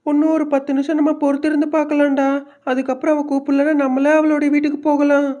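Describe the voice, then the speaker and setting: A mother sparrow played by a woman talks in a high but mellow voice, with even intonation. She is placid and coaxing, a patient glutton in a cartoon tale. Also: quick pace, lightly acted. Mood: soothing. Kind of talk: Tamil moral-story cartoon dub.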